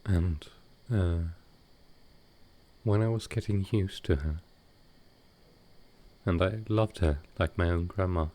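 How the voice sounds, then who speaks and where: A man playing a gentle, grieving vampire lord in an intimate audio roleplay, speaking tenderly and with some hesitation. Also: low-pitched, slow, pauses often. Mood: sorrowful, reflective. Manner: soft-hearted, hesitant, tender.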